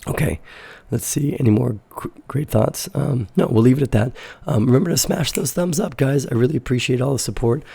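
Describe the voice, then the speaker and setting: A hoarse, raspy man in his sixties hosts a live stream and answers viewer questions in a blunt, fiery, profane way. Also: mid-range voice, brisk, warm tone, dry recording. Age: 60s. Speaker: host of a live crypto trading show.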